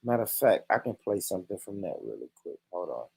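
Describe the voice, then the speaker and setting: A man's voice: sits low, very animated, fast, creaky, a little mellow, breathy edge. A man hosting a vlog, chatting loosely. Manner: laid-back, addressing viewers. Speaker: vlogger host. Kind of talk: casual vlog chat.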